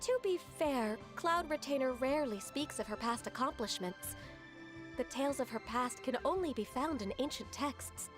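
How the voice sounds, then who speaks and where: A woman voicing a gentle, polite young female aide who speaks softly and courteously. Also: high-pitched, lively intonation, normal speed, music in the background. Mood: calm. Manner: soft-spoken and courteous. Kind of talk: video game voice acting.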